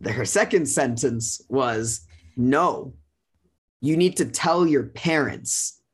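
A man's voice: medium pitch, animated, slow, husky. A man sharing a personal experience as a guest, casual but earnest and emphatic. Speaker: guest sharing his relationship story.

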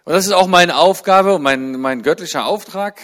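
Fervent male preacher, middle-aged. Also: normal speed. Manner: fervent, climbs high and loud on key points. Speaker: guest speaker at a church. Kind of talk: sermon-style talk to a congregation.

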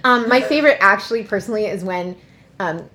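Animated swearing woman podcast co-host. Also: medium pitch, rough recording. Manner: animated, swearing, quick asides and mocking quotes. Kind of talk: casual chat.

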